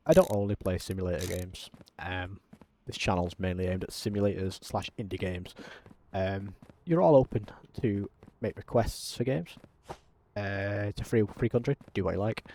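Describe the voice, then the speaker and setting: Mellow-voiced man narrating gameplay. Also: on the low side, slight background noise. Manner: self-described monotone, frequent ums. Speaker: gaming channel host. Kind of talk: casual gameplay commentary.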